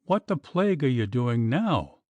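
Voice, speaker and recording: A man voicing a boastful, hot-tempered gentleman who shouts and curses in a fright. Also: mid-range voice, very animated, a little slow, dry recording. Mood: blustering. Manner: blustering, shouting.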